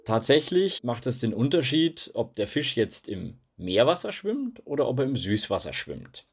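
A husky-voiced man, a biologist, explaining things to children in a plain, patient way. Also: medium pitch, sing-song, normal speed. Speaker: biologist and fish expert.

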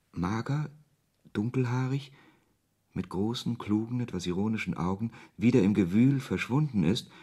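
An actor playing a pensive author who narrates in the first person in a literary radio play, reflective and deliberate, with a husky voice. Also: male voice, fairly low pitch, darkish tone. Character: pensive male author recounting his uncanny encounters.

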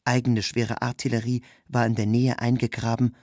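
Husky man reading in a dry studio. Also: mid-range voice, level tone, normal speed, a little mellow, no room sound. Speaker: narrator. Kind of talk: scripted literary narration.

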